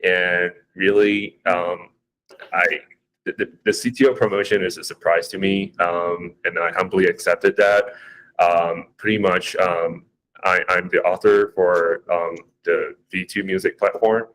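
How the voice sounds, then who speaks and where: A man's voice: deep voice, steady tone, measured pace, a bit bright, slight background noise, poor audio. A man from Malaysia introducing himself with a hesitant, modest manner and many fillers. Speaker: CTO. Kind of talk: casual chat.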